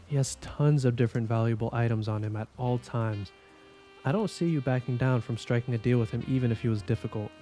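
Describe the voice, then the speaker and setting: A man's voice: mid-range voice, brisk, dark tone, faint music behind, close to an everyday voice. A man playing a weary, skeptical police investigator in an audio drama, speaking in a dry, blunt, impatient way. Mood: probing, dry.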